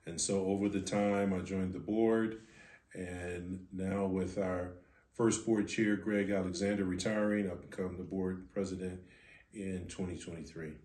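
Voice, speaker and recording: Low-voiced American man in an echoey room. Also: fairly even intonation, a little slow, a little mellow. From Philadelphia, United States. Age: 50s. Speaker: podcast guest, board president of a ministry. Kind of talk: interview answers, personal storytelling.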